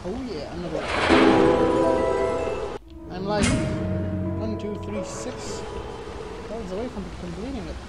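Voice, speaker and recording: A man's voice: high voice, unhurried, long pauses. A young man giving casual, offhand and playful commentary while he plays a video game. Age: young adult. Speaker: let's play gamer. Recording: loud game music and effects behind. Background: video game music and sound effects.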